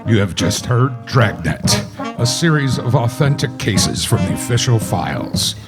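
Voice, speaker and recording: A man's voice: medium pitch, sing-song, a little slow. A man making formal scripted announcements in the style of a 1950s radio crime show. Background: loud live orchestra music.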